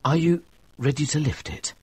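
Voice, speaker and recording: A man's voice: fairly high pitch, moderate pace, a little mellow, breathy edge, no room sound, pitched above the performer's own voice. A man voicing an earnest, frightened young male minister who pleads and then cries out in alarm. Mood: pleading.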